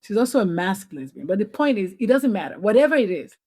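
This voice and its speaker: A woman's voice: medium pitch, very animated, quick pace, dark tone. A middle-aged Nigerian woman physician speaking as a podcast guest, telling stories earnestly and animatedly, often restarting her sentences. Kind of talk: conversational interview.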